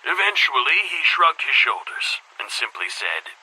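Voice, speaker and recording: An actor playing a weary, embittered middle-aged school headmaster in a video game monologue, speaking in a formal, measured, brooding way with a husky voice. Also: male voice, sing-song, bright, forceful tone, exaggerated voice, low-quality recording. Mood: earnest. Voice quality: husky, breathy low voice.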